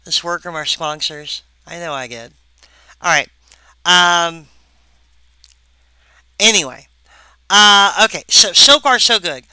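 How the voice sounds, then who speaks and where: A woman giving a recorded philosophy lecture, halting and full of wry asides to the viewer. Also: on the low side, animated, sharp tone, smooth, no echo, low-quality recording.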